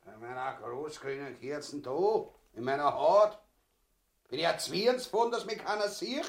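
Casting: greedy, coarse, cowardly Austrian tyrant, grotesque comedy, slow delivery, higher-pitched voice. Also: male voice, lively intonation. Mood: gleeful, greedy. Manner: coarse, blustering, swearing. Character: gluttonous, crude, cowardly grotesque usurper husband. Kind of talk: grotesque stage comedy acting.

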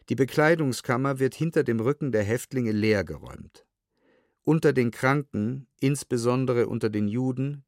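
Guy reading old documents for an audiobook, sober and careful, mid pitched, really dry studio sound. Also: vocal fry, dry recording. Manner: measured, sober, precise enunciation. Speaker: narrator reading historical documents and testimony. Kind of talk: scripted documentary audiobook narration. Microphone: close studio microphone.

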